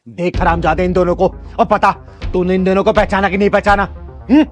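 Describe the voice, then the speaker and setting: A fierce, protective kinnar elder sister played by a man in a dramatic short film. The voice sits fairly high, and the talk is blunt, preachy and threatening, with faint music behind it. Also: fairly even intonation, fairly quick, natural voice. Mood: angry interrogation.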